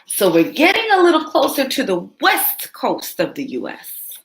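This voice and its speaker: Expressive woman reading a kids' story. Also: sits high, normal speed. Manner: expressive, teacherly, asks kids questions. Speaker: children's story reader. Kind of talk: read-aloud storytelling for young kids. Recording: roomy echo.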